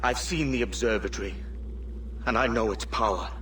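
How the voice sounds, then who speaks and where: An actor playing a resolute, indignant pirate hero in a video game, grave and firm. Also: male voice, fairly low pitch, moderate pace, fairly bright tone, far from the mic, a little hiss or hum, natural voice, poor audio. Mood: firm, accusing.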